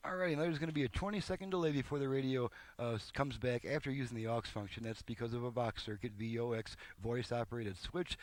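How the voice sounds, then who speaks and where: A man's voice: medium pitch, fast talker, hardly any pauses, no room sound. A man giving a casual, hands-on demonstration as a repairman, explaining step by step in a plain-spoken, folksy way. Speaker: car radio repairman and presenter.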